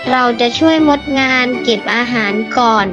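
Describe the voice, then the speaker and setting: A woman's voice: fairly high pitch, normal speed, lo-fi sound, over music, natural voice. A woman voicing a curious, kind young child adventurer who speaks with wonder to young listeners in a storybook tale. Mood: thoughtful, kind. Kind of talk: children's story hosting and acting.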